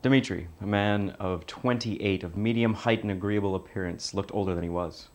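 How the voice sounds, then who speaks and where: A man's voice: on the low side, moderate pace, soft background music, close to an everyday voice. A man reading literary narration aloud as an audition piece. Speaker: actor auditioning.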